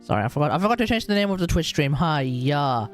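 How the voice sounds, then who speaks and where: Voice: mid-range voice, sing-song, quick pace. A streamer giving casual, rambling gameplay commentary on a livestream, thinking aloud while playing. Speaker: video game streamer. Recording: voice under loud game music. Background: loud video game music.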